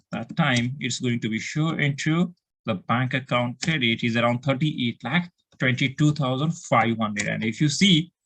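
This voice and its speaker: A man's voice: medium pitch, a bit bright. A man with an Indian accent giving a step-by-step tutorial as an accounting instructor, asking questions and then answering them, often repeating himself.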